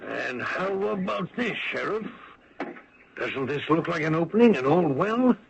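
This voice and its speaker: A man's voice: on the high side, animated, measured pace, vocal fry, lo-fi sound, a crowd in the background. A man playing a confident, needling character in a radio drama, with a pointed, folksy, clipped delivery. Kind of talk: 1970s-80s radio drama acting. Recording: old radio recording with faint noise.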